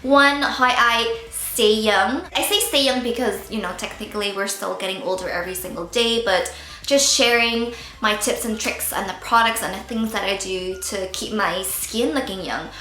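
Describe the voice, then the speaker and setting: A woman's voice: mid-range voice, moderate pace, sharp tone, reverberant, low-quality recording. A woman in her thirties from Northern Ireland with a Northern Irish accent, chatting candidly to camera as a beauty vlogger, joking and tripping over words. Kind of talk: casual chat to camera.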